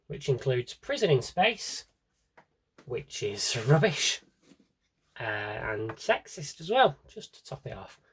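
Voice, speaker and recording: Husky British man, casual and wry. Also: medium pitch. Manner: wry, opinionated, rambling asides. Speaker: vlogger showing a collection. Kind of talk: casual chatty review.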